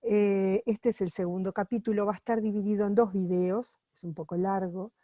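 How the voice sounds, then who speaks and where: A woman reading a book aloud while translating it on the fly, pausing often with fillers and restarts. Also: mid-range voice, steady tone, dark tone, dry recording, clean studio sound. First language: not Italian. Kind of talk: unscripted sight-translation reading.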